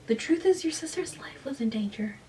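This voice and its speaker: A woman voicing a guarded middle-aged father who speaks in a quiet, subdued way, recorded a little way from the mic. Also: sits high, lively intonation, fairly quick, far from the mic, slight background noise, pitched above the performer's own voice. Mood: defensive. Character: aging father, defensive and guarded.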